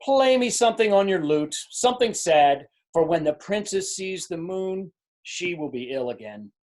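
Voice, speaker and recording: An anxious storybook king, acted out by an amateur reader, demanding and gloomy. The pitch swings widely, with exasperated emphasis suited to readers theater. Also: lively intonation, normal speed, a little mellow, natural voice. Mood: mournful.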